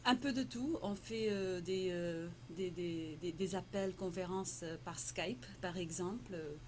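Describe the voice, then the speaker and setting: A woman's voice: fairly low pitch, measured pace. A woman whose first language is Tamil, who grew up in Morocco, answering interview questions in French with many fillers, restarts and frequent laughs. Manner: hesitant, many fillers and repeated words, laughs often. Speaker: interviewee, entrepreneur. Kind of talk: casual conversational interview. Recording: noisy background.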